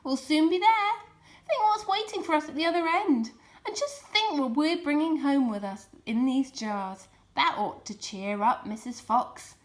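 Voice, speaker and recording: A woman voicing a jaunty, confident male fox leader in a storybook, brisk and rallying. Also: high voice, animated, voice pushed up.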